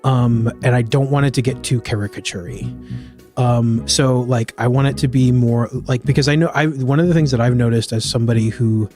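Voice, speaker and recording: Male streamer, mid-pitched, rambling casual chat in an assumed Scottish accent, soft music underneath. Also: steady tone, fairly quick. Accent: put-on Scottish, a mix of Glaswegian and Edinburgh. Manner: rambling, with many fillers and self-corrections. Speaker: live streamer.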